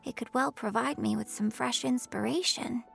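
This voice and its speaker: A woman voicing a gentle, thoughtful young opera performer in a video game, composed and polite. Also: a little high, normal speed, creaky, faint music behind. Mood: calm, wistful.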